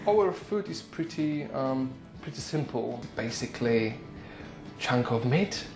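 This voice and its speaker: A man's voice: mid-range voice, animated, measured pace, over music, poor audio. A young man from Poland with a Polish accent, a psychology student giving hesitant interview answers and often repeating words.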